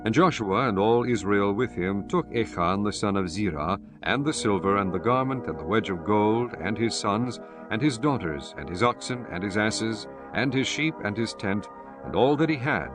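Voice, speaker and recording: A man reading scripture as the narrator of a dramatized audiobook, in a solemn, measured manner. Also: fairly low pitch, animated. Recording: music behind the voice.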